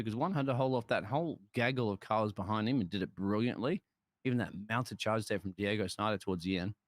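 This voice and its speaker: A man's voice: lowish voice, dry recording. A man commentating a sim race and interviewing drivers in a chatty, matey way with frequent restarts.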